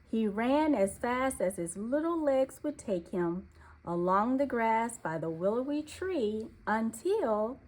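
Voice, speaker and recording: Slow, expressive woman reading a storybook. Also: fairly high pitch, rough recording. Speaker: storybook narrator. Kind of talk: children's read-aloud narration.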